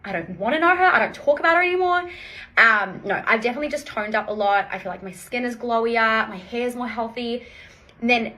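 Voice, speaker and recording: A woman's voice: a little high, fairly quick, sharp tone, low-quality recording. A woman chatting to viewers with casual, emphatic self-improvement advice, addressing them directly.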